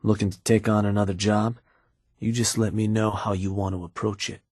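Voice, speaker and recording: A cynical gang advisor from a video game, played by a male actor, speaks in a very deep, husky voice. He is laid-back and slangy, with sly, folksy menace as he addresses his boss. The sound is close and dry. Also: level tone, a little fast, a little mellow, dry recording, natural voice. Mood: casual, menacing. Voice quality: husky, low. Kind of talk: video game character dialogue.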